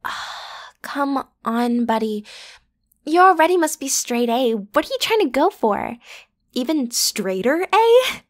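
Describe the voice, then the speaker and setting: A woman's voice: high voice, sing-song, slow, full character voice. A woman playing a bratty, playful popular student girl in an ASMR roleplay, with casual, slangy, teasing delivery. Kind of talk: ASMR roleplay acting, one side of a conversation.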